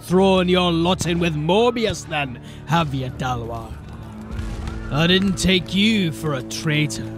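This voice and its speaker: A man's voice: a little high, lively intonation, takes it slowly, music in the background. A man playing a menacing, mocking gang boss in a fantasy audio drama, taunting and swaggering.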